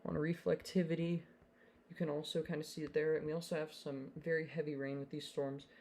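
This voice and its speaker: A man's voice: fairly high pitch, little pitch movement, fairly quick, darkish tone, slight background noise. A young man hosting a live weather stream, talking casually with fillers and giving urgent storm warnings from his home setup. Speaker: livestream weather host. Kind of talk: live storm-coverage commentary.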